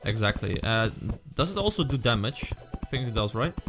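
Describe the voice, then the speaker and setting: Chatty man commentating over loud game music. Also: medium pitch, sing-song, fairly quick. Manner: chatty, addresses viewers while playing. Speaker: gaming video host. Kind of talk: casual co-op gaming commentary. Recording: music playing behind the voice.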